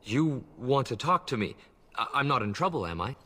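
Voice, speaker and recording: An actor playing a nervous, meek servant who runs errands in a fantasy game, hesitant and apologetic. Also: male voice, medium pitch, sing-song, normal speed, pushed and bright. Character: nervous, timid male servant running army errands. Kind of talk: fantasy video game acting.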